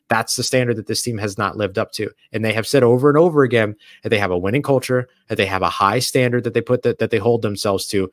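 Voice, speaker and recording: A man's voice: fairly low pitch, fast, few pauses, dry recording, studio quality. A man co-hosting a sports podcast, explaining his points earnestly and step by step. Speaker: podcast co-host. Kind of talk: sports analysis chat. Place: hotel or studio room away from home.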